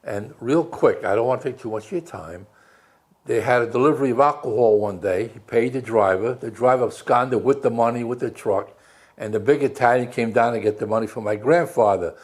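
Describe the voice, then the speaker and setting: Old new guy around ninety, spinning wry stories, chatty, plain even voice. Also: medium pitch, a little fast, husky. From New York City. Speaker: veteran interviewee. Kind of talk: oral history interview.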